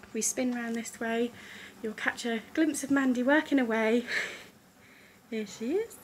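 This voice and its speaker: English woman, upbeat shop-tour vlogger, higher voice, mask-muffled, handheld phone in a small shop. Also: a little slow, slight background noise. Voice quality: slightly muffled by a face mask. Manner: enthusiastic, gushing praise. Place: small gift shop indoors. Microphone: phone camera held while walking.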